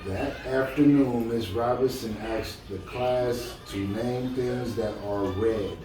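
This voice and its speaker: A man's voice: mid-range voice, slow pace. A man reading a children's storybook aloud, chatting casually and encouragingly and putting questions to his listener. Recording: echoey room, distant mic, music behind. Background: music.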